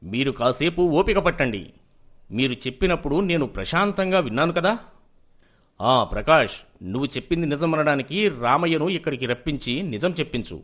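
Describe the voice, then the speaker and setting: Man acting a stern village judge, animated intonation. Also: fairly high pitch. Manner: measured, authoritative. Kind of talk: dramatised moral story acting.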